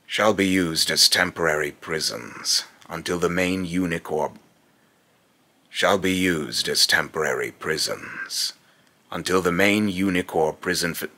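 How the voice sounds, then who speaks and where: A very low, gravelly god of fear and loss, acted by a young man. He is a vengeful male tyrant who delivers solemn, pompous villain pronouncements slowly, with a forceful, rough edge. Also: heavily acted. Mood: cold, commanding. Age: young adult. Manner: slow, pompous, solemn, repeats phrases in retakes. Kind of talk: scripted villain monologue, voice-acting takes. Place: small indoor room at home.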